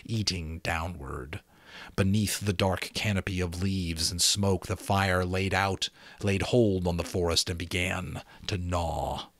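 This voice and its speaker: A man's voice: sits low, no echo. A man reading a novel aloud as a dramatic amateur audiobook narrator.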